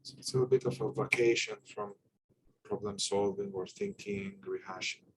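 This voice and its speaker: A man guiding a meditation with calm instruction, speaking in unhurried, halting short phrases with long pauses. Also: on the low side, slight background noise, rough recording. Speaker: meditation guide.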